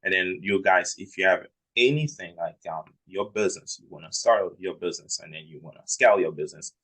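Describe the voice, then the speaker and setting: Male host, very low voice, halting delivery, lots of fillers, casual interview. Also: fairly bright tone, husky, rough recording. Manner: hesitant, many "um" and "I mean" fillers.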